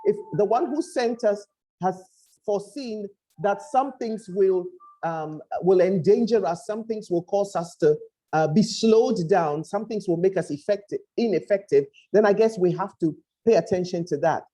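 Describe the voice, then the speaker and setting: A woman pastor with a Ghanaian accent preaches to a remote audience in an earnest, reflective way. Her voice is mellow and warm, and she often hesitates and repeats words. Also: medium pitch, moderate pace, rough recording. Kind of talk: sermon-style talk. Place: home in Accra, speaking to a remote audience.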